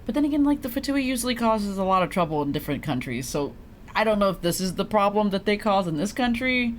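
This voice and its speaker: A woman's voice: mid-range voice, expressive, quick pace, slight background noise. A woman doing casual, chatty live gameplay commentary as a streamer, giggly and free with swearing. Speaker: game streamer.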